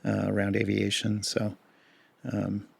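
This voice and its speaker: A man co-hosting a podcast in casual chat, a bit hoarse, talking in a relaxed, rambling way with fillers. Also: lowish voice, a little slow, dry recording. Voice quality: gravelly. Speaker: podcast co-host.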